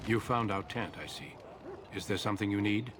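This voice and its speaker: A man voicing a calm, grave warrior commander in a fantasy video game. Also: on the low side, fairly quick, natural voice. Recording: loud outdoor game ambience noise.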